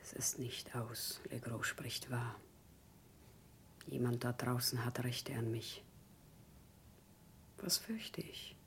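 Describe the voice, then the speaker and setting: An actress playing a proud, wounded wife hailed as a saint in a German radio play, with intense theatrical delivery. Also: female voice, a bass voice, slow, long pauses, slight background noise, heavily acted. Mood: hushed despair. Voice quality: husky, breathy.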